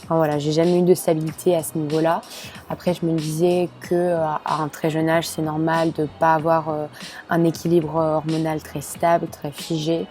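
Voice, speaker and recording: A young woman in her late teens from Belgium, telling her own story with many pauses, fillers and restarts. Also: low voice, little pitch movement, a little mellow, soft background music. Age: young adult. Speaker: student sharing her experience. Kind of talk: personal testimony to camera.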